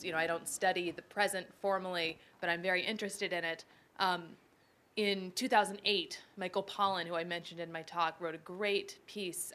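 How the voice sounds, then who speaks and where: A woman with a slightly low voice, speaking on an academic panel. She thinks aloud in a measured, thoughtful manner and qualifies her points as she goes. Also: a bit bright.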